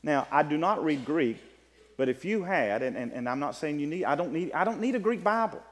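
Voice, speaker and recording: A man preaching a sermon, teaching from the Bible in an emphatic way that swings between loud and soft. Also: on the high side, expressive, a little fast. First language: English. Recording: long reverb of a hall.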